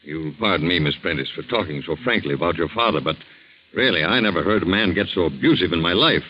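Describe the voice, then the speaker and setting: A man's voice: low-pitched, animated, fast talker, bright, forceful tone. An actor playing a brusque, self-justifying middle-aged businessman in a 1940s radio drama. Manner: brusque, indignant. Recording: muffled 1940s radio recording.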